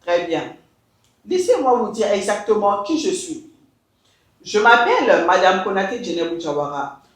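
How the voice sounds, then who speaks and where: A woman's voice: medium pitch, sing-song. Emphatic woman in an echoey room. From Mali. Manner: emphatic, deliberate, switches into English. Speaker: education consultant. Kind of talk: scripted address to camera.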